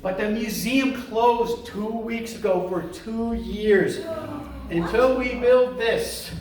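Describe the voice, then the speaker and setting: Middle-aged guy from florida giving a museum talk, highish voice, lots of ums and jokes, big echoey hall, far off mic. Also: takes it slowly, crowd noise. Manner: chatty, joking, many fillers and restarts. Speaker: museum curator giving a lecture. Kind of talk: casual public lecture with jokes. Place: large hall, voice picked up from far off.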